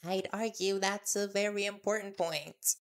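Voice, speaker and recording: A man's voice: high-pitched, steady tone, a little slow, fairly bright tone. A man playing an earnest, nervous young reporter boyfriend in an intimate audio roleplay, hesitant and deferential.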